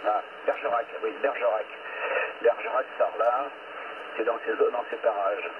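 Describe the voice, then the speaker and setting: A man's voice: on the low side, steady tone, moderate pace. A man chatting casually as a CB operator, heard over a two-way radio. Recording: tinny radio transmission with clear static.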